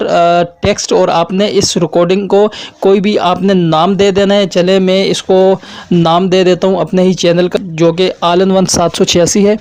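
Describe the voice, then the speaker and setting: A man's voice: high voice, darkish tone, slight background noise. A man narrating a step-by-step app tutorial as its host.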